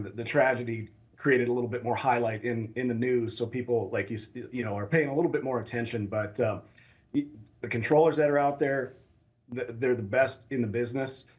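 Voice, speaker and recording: An American man speaking as an academic expert in a news interview, explaining things in a measured, hesitant way. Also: mid-range voice. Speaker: assistant professor of air traffic management, expert guest. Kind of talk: live news interview answers.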